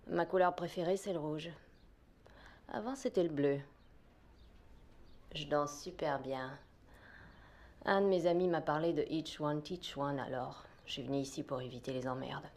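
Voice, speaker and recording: A woman's voice: deep voice, normal speed, long pauses, darkish tone. A woman dubbing a tough, offhand student in a French film dub.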